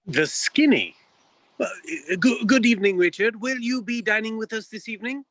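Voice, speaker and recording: Prim bar owner, male performer: well-spoken, courteous, high pitch, crisp bright tone, staged noir comedy. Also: animated, normal speed, dry recording. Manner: formal, genteel, hesitant politeness.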